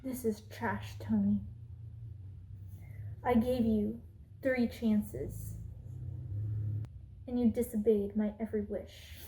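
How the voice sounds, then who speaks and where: A woman acts out a cruel, haughty queen for an ASMR roleplay. Her voice sits fairly high yet has a soft, mellow tone. She draws her words out slowly, with imperious disdain and menace. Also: steady tone, slight background noise, poor audio. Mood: cold disdain. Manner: imperious, slow and deliberate, drops into menacing whispers. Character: haughty, cruel evil queen, threatening and contemptuous.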